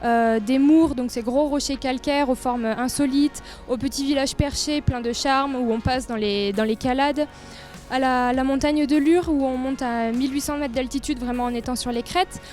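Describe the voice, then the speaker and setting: A woman with a fairly high voice speaks as a tourist office representative in an informal interview. She sounds enthusiastic as she describes what is on offer, with faint music playing behind her. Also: fairly even intonation, moderate pace, a little mellow. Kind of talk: informal promotional interview, describing local trails.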